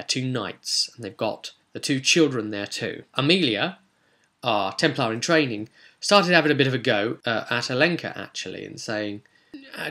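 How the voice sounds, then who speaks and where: Bright-voiced man recapping a tabletop game. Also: medium pitch. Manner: conversational storytelling, acting out characters' remarks. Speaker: host and game master. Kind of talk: recapping a tabletop roleplay session.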